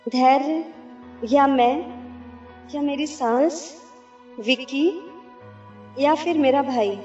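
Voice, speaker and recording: An actress in an amateur radio play voicing a remorseful young woman who gives a slow, earnest closing reflection to the audience. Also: high-pitched. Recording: roomy, distant, muffled recording. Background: soft music.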